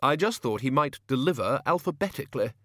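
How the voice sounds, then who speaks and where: A man puts on an older wizard for an audiobook, pitched up and loud. He is pompous and blunt, speaking with brusque authority. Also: animated, brisk, dry recording, clean studio sound. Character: blustering, blunt older male wizard, head of a university.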